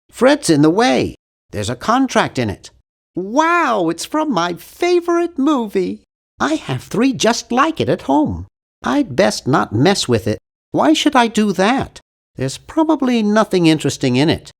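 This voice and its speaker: A man's voice: a little high, heavily acted. A man voicing a timid, bookish young nerd in a cartoon adventure game, speaking in a pedantic, fussy way. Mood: mildly bemused. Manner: pedantic, prim, fussy delivery.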